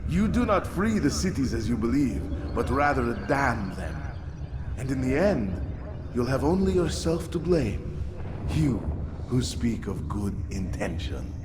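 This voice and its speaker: An actor playing a proud, self-righteous dying city overlord in a video game, emphatic and self-justifying. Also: male voice, medium pitch, big pitch swings, a little slow. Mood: bitter, accusing. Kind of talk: video game villain acting. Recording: long echoing reverb, clear background noise.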